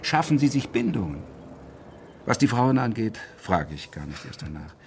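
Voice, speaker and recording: An actor playing a self-assured, eccentric male doctor in a radio crime drama, giving clinical pronouncements with deliberate pauses. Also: medium pitch, very animated, darkish tone, a little hiss or hum. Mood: confident, musing.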